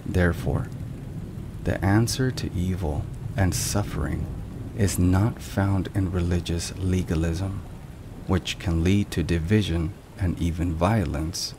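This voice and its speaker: A low-voiced man delivers scripted devotional narration in a hushed ASMR style. His delivery is very slow, measured and reflective. Rain and thunder are clearly heard behind him. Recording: steady rain and thunder noise. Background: rain and thunderstorm sounds.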